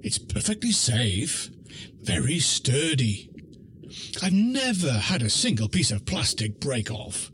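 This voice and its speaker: A man's voice: medium pitch, big pitch swings, slow, a bit bright. A man playing a fussy, house-proud older octopus in a children's radio play, stammering and emphatic. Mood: flustered, defensive.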